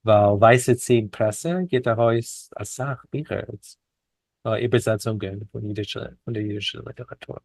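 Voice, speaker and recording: A man interviewed as a guest poet, speaking a learned second language in hesitant, deliberate short phrases with pauses. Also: lowish voice, gravelly, darkish tone, dry recording. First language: not a native speaker of Yiddish. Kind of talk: reflective interview conversation.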